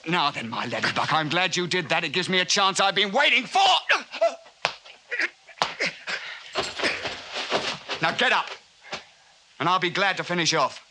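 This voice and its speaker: An actor playing a gruff, scornful, stiff-upper-lip British big-game hunter in 1950s radio drama, with clipped old-fashioned colonial phrasing. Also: male voice, sits high, lively intonation, fairly quick, background noise. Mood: furious contempt. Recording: vintage 1950s radio recording, music behind.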